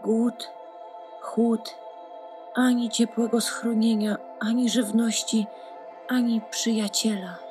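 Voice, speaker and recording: High, plaintive little duckling. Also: female voice, flat delivery, music in the background. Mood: weak, despairing. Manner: plaintive, drawn-out, repeating words for emphasis. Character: sad young ugly duckling, lonely and yearning. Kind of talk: audio drama storytelling.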